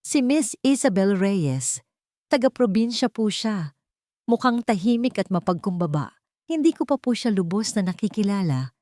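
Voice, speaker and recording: Professional female assistant voiced by a woman narrator, calm and respectful, radio drama, close dry recording. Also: mid-range voice, no echo, close to an everyday voice.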